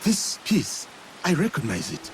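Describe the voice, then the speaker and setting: A man's voice: fairly high pitch, wildly swooping pitch, measured pace, noisy background. A man playing a solemn, courteous warrior in a video game, speaking in a formal, restrained way. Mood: curious. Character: solemn, courteous male warrior seeking answers. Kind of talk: dramatic video game cutscene acting.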